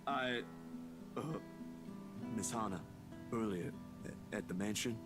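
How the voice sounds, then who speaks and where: Low-voiced polite young photographer played by a man. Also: a little slow, loud music behind the voice, lightly acted. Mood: hesitant. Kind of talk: visual novel voice acting.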